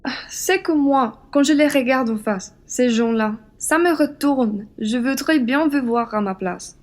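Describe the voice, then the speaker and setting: A woman's voice: high-pitched, a little slow. A woman playing a proud, defiant young Frenchwoman in an amateur radio play, blunt and indignant. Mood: reluctant.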